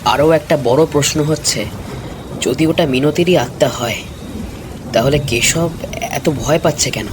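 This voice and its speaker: A man's voice: medium pitch, moderate pace, pauses often, close to an everyday voice. A man voicing a concerned young village friend in a cartoon ghost story, questioning and reasoning. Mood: worried. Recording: clear rain and thunder noise behind.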